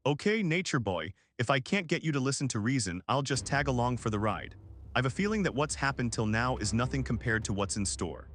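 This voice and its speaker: A man's voice: medium pitch, animated, brisk, fairly bright tone, slight background noise, close to an everyday voice. A man voicing a wry, determined, friendly costumed hero in a comic book dub. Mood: wry, resolute.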